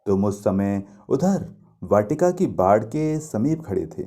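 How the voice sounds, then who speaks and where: A man's voice: fairly low pitch, sing-song, slow pace, mellow, heavily acted. A man voicing a lovelorn, reproachful young woman in a mythological story, speaking in a poetic, pleading, emphatic way. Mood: tender, pleading.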